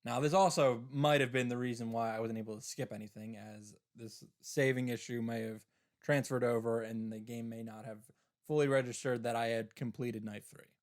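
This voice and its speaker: A man's voice: medium pitch, steady tone, normal speed. A man giving casual commentary on a game playthrough, with wry, self-interrupting asides.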